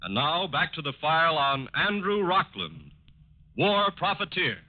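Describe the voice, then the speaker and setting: A man's voice: on the high side, sing-song, a little slow, heavy background noise. A man working as a 1940s radio announcer, delivering a sponsor message in a formal, emphatic way. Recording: dull vintage radio recording.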